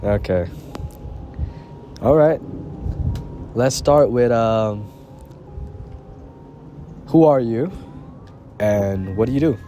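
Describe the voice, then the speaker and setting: A young man interviewing a musician, asking short relaxed questions. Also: medium pitch, drawn-out words, long pauses, over music.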